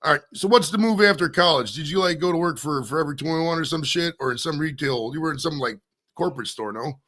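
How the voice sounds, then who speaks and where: A man's voice: on the high side, fast talker, pushed and bright. A man hosting a live podcast interview, talking loosely and profanely in a rambling, casual way. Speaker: podcast host.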